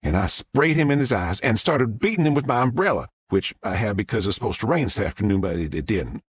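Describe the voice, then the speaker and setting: A man voicing an excitable middle-aged Southern mother who rambles through her story in a drawl. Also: fairly low pitch, fairly quick, breathy edge, dry recording, clean studio sound. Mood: excited. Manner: rambling, breathless storytelling with restarts.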